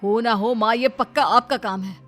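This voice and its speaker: A woman's voice: a little high, normal speed, a bit bright, close to an everyday voice. A woman voicing a protective, indignant husband and son, forthright and reproachful, in a cartoon story. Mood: accusing. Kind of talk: cartoon moral story dialogue. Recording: music under the voice.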